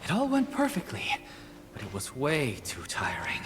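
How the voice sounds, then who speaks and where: A man's voice: mid-range voice, wildly swooping pitch, normal speed, noisy background. A man voicing a worn-out, relieved townsman in a video game.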